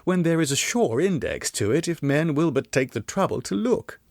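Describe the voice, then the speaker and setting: A man's voice: a little high, sing-song, moderate pace, gravelly, darkish tone, no room sound, clean studio sound, voice pushed up. A man voicing a polite, inquisitive young English solicitor in a gothic audiobook, earnest and formal in manner. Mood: puzzled. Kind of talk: gothic audiobook character reading.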